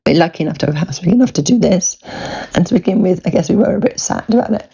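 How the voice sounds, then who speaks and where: A woman in her fifties talks in a croaky, husky voice with a gravelly edge. Her tone is mellow and gentle as she records a sincere message. The sound is dull and lo-fi, like a phone recording. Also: on the low side, expressive, very fast. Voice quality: croaky, breathy voice. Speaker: listener sending a voice message.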